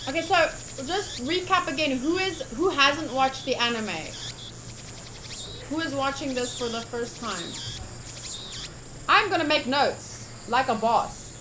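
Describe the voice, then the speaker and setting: A woman with a fairly high voice hosts a casual game livestream. She takes her time, switching between chat and reading aloud with expressive enthusiasm, over noticeable background noise. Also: unhurried. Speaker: game streamer. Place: livestream setup.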